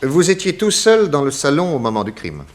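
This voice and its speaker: An actor playing a confident private detective in a 1960s French radio crime serial, speaking in a courteous, methodical and theatrical way. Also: male voice, a little high, big pitch swings, fairly quick. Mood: formal, commanding. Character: confident male private detective exposing a murderer.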